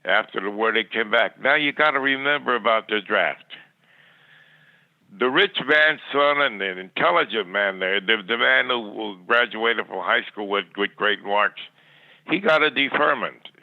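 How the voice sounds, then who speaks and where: Over a tinny, dry, phone-like line comes an older man with a lowish voice. He reminisces in a plainspoken, rambling way, stumbling and repeating words as he goes. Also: lively intonation, moderate pace, creaky, dry recording. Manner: rambling, plainspoken, stammering repetitions. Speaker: podcast guest. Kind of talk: reminiscing in interview.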